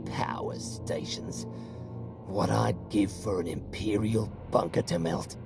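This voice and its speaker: A man playing a brash, sardonic mercenary warrior in a video game, gravelly and theatrical, mocking and boastful. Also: fairly low pitch, very animated, takes it slowly, exaggerated voice. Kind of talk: video game cutscene acting. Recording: music behind the voice.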